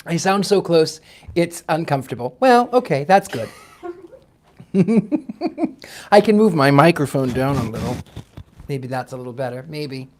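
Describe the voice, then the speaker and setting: A man's voice: fairly high pitch, expressive, measured pace, long pauses, a little mellow. A man hosting a livestream as a storyteller, moving between dramatic live reading and casual asides to listeners.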